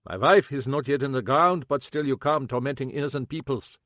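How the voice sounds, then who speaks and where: A man voicing a gruff old German cobbler with broken English and a German accent. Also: medium pitch, brisk, fairly bright tone, no room sound, studio quality, voice pushed up, close to an everyday voice. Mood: defensive. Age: older. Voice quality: clipped broken English, prickly.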